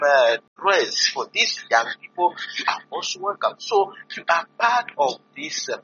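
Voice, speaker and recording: A man from DR Congo, a priest and vocation director, making an earnest, pleading appeal to listeners with many pauses. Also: fairly high pitch, pushed and bright. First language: not English. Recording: dull lo-fi recording with faint noise.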